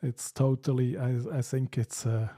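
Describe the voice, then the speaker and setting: A man's voice: mid-range voice, little pitch movement, normal speed, mellow. A man with a Swiss accent hosting a casual live stream, reading out viewer comments and often laughing between hesitant, filler-filled sentences. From Switzerland.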